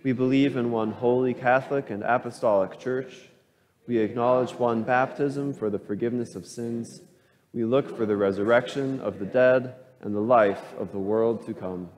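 A man leading a congregation through a creed, reciting slowly and evenly in worship. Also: mid-range voice, steady tone, darkish tone. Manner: slow, measured liturgical recitation. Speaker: pastor. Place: church sanctuary. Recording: long church reverb.

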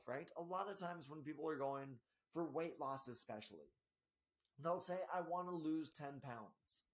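Upbeat health coach, slightly scratchy. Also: male voice, on the high side. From Spokane area, US. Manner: energetic, gestures a lot, frequent tag "right?". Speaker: podcast host and health coach. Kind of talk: solo podcast monologue. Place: small office in front of a banner.

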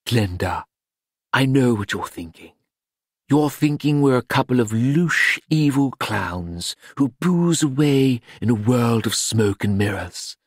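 A man's voice: medium pitch, expressive, slow, slightly rough, no room sound, clean studio sound, voice pushed up. A man voicing a worldly, theatrical madam in an audiobook, grand, languid and persuasive. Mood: candid, coaxing.

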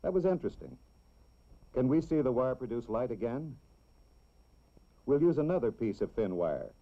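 An American man reads scripted narration in the style of a 1960s educational film, explaining things simply and deliberately for children. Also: mid-range voice, very animated. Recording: dull, lo-fi old film soundtrack.

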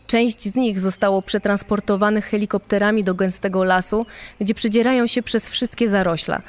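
A woman's voice: mid-range voice, steady tone, normal speed, lightly acted. Confident female deputy sheriff, played by a woman. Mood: determined, official. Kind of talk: acted quote in a true-crime podcast.

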